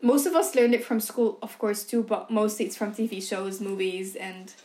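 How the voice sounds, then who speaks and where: A woman's voice: medium pitch, fairly even intonation, moderate pace, warm tone. A young Dutch-speaking woman from Belgium, co-hosting a casual podcast chat and filling her talk with "like" and "um". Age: young adult. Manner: chatty, heavy use of "like" and "um". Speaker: podcast co-host.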